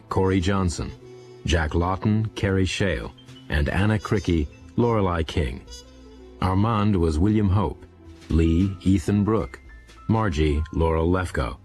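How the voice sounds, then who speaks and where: A man's voice: low-pitched, darkish tone. A man reading scripted broadcast credits as a radio announcer.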